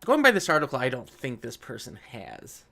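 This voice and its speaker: A man's voice: mid-range voice, huge pitch swings, brisk, vocal fry, bright, forceful tone. A man hosting a casual commentary video, reading aloud and adding sarcastic, argumentative remarks.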